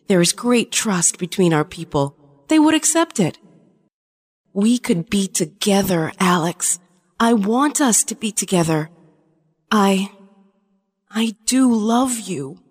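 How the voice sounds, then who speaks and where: A woman's voice: mid-range voice, lively intonation, slow, pauses often, breathy edge. A woman playing a shapeshifting alien spy in an audio drama, a conflicted lover pleading her case earnestly. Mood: tender, pleading. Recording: long reverberant hall sound.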